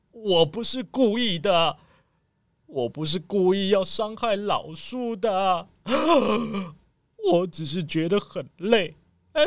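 A guilty village auntie voiced by a woman stammers and wails her confession in a high, swinging voice. She talks slowly and tearfully, like a character in a children's audio drama. The sound is close and dry. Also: dry recording. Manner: wailing, stammering, tearful pleading. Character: remorseful village woman Aunt Heigu, arsonist caught out.

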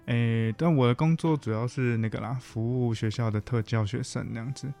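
Halting Taiwanese Mandarin man over faint music. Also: medium pitch, fairly even intonation, creaky, dark tone. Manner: halting, self-correcting, frequent humming backchannels. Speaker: guest counseling psychologist. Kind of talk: casual conversational interview.

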